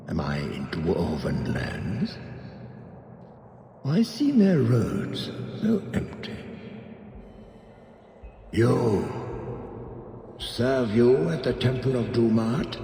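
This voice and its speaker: An actor playing a proud, imperious, bewildered ancient darkspawn magister in a video game, speaking in grandiose, halting, archaic phrases. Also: male voice, mid-range voice, mellow, slight background noise, exaggerated voice. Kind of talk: dramatic video game acting. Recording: echoing hall with long reverb.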